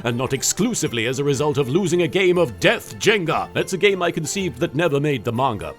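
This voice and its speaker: Casting: male actor as arrogant, theatrical anime pharaoh, boastful comic host, higher-set voice with very animated intonation. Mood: smug, playful. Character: cocky, dramatic young male Egyptian pharaoh spirit from anime. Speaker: host of a comedic countdown. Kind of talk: scripted comedic monologue in character.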